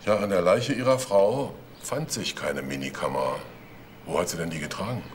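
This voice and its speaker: A man's voice: sits low, moderate pace. A man playing a blunt police detective in a crime drama, dry and sardonic. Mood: probing, sardonic. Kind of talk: German TV crime drama dialogue. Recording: roomy sound with faint noise.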